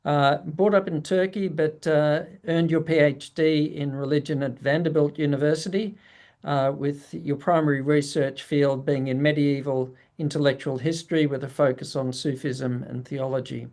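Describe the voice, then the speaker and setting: A man's voice: fairly high pitch, steady tone, normal speed, a little mellow. A man hosting an interview over a video call, introducing the guest and asking questions with frequent fillers and restarts. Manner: friendly, hesitant with many fillers. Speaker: host.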